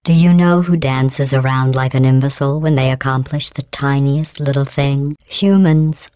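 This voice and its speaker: A woman voicing a cold, sarcastic female AI overseer in a video game, talking in a deadpan, condescending, passive-aggressive way with robotic processing. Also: a bass voice, moderate pace, smooth, no room sound. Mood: deadpan, mocking. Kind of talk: game voice clips.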